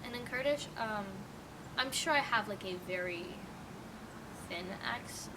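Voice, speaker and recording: A woman's voice: fairly high pitch, animated, a little slow. Young woman rambling, far off in traffic. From United States. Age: young adult. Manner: rambling, heavy use of "like" fillers. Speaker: interviewee. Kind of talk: casual interview answers. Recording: distant mic with loud traffic noise.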